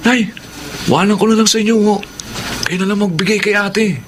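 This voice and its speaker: A man's voice: high-pitched, steady tone, normal speed, slight background noise, close to an everyday voice. A man acting a hopeful young father and younger brother, respectful and earnest, in a family audio drama. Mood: playful, respectful. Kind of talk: dramatic Tagalog radio-style drama acting.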